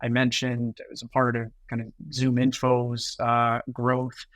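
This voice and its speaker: Middle-aged American man, mid pitch, dry close sound; chatty exec guest who repeats words and checks in with "right?". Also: completely flat delivery, measured pace, a bit bright, no room sound. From United States. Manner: conversational, repeats words and tags sentences with "right?". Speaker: guest, sales executive. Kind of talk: podcast interview answers.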